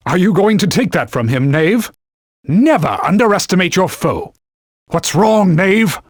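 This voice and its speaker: Talking sword, game mentor: stern, pompous, archaic scolding, highly animated delivery, raspy edge, very dry studio sound. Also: male voice, no room sound, exaggerated voice. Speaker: video game companion guide. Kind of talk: video game voice acting, short combat callouts.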